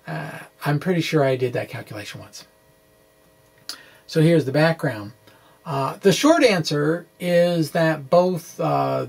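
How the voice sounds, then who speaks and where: Slow, creaky middle-aged man explaining. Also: a little high. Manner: halting, quirky, playfully dramatic. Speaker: science blogger presenting puzzle answers. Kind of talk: semi-scripted explanation, reading a blog aloud. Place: small indoor room with bookshelves. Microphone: desk microphone in front of him.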